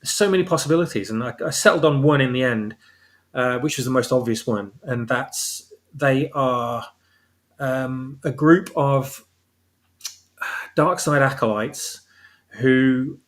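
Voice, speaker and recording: A man's voice: mid-range voice, a little slow, fairly bright tone. A man speaking as a guest author, explaining his work conversationally and searching for the exact word as he goes. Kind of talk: explaining own story in casual discussion.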